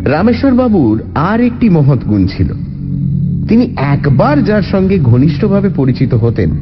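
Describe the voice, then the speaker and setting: A male narrator reads a literary story with expressive, animated delivery. His voice sits a little high and swings widely. Music plays clearly behind him. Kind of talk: scripted audio story narration. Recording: slightly dull recording under loud music. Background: background music.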